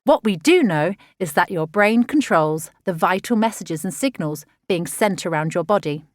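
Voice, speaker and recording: A woman reading a children's nonfiction audiobook in an expressive, measured, explanatory way. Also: fairly low pitch, dry recording, clean studio sound. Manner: clear, measured, expressive teaching delivery for children. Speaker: author narrating own audiobook.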